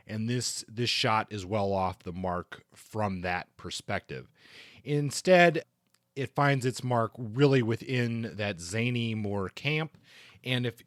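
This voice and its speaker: A man's voice: medium pitch, no echo, clean studio sound. A man co-hosting a podcast and delivering a book review. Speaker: podcast co-host.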